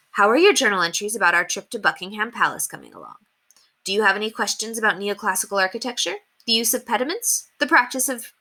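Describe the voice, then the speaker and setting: A woman's voice: on the high side, pushed and bright, pitched above the performer's own voice, exaggerated voice. A woman voicing a prim, earnest and kindly young English governess in a children's storybook, with a proper, effusive way of talking. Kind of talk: children's storybook read aloud.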